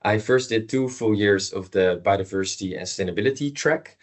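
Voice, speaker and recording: A man, a graduating student talking in a relaxed, casual way. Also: lowish voice, fairly quick. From Netherlands.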